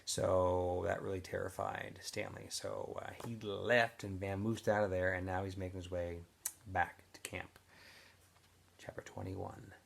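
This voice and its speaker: A man's voice: deep voice, little pitch movement, measured pace, vocal fry, slight background noise. A male teacher casually recapping a story for students, then reading a novel aloud expressively.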